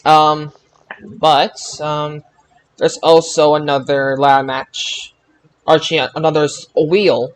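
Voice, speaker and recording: A man hosting a casual wrestling review podcast, speaking haltingly with stammered restarts. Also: on the high side, flat delivery, clear, resonant tone. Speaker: podcast host.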